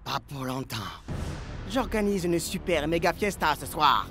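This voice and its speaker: A man's voice: fairly high pitch, lively intonation, measured pace, sharp tone, faint music behind, full character voice. A man playing a smug soccer rival in a cartoon dub, with a mocking, taunting drawl. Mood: boastful. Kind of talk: animated cartoon dubbing.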